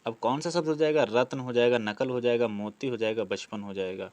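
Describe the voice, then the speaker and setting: A man's voice: medium pitch, fairly quick, a little mellow, no room sound. A man teaching a grammar lesson, explaining practice questions step by step, asking questions and answering them himself. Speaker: Hindi grammar teacher for exam preparation.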